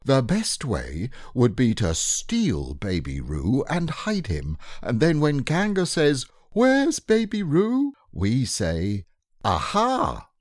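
A man's voice: medium pitch, huge pitch swings, slow pace, dry recording, clean studio sound, pitched above the performer's own voice. A man voicing a bossy, fussy male rabbit who talks in a precise, self-important way in an audiobook reading.

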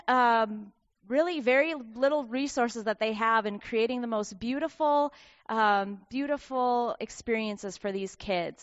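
A woman missionary giving an earnest report to a church congregation, with frequent filler pauses. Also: a little high, clean tone, dry recording, highs cut off. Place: church sanctuary.

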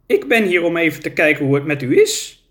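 A man's voice: fairly high pitch, expressive, brisk, a bit bright, voice pushed up. A man reading a storybook and voicing a small, nimble, eager young male climber.

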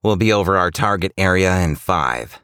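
A man voicing a reindeer who flies as a calm military pilot in a comic audio story. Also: low voice, moderate pace, clear, resonant tone, no echo, studio quality, pitched above the performer's own voice. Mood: steady.

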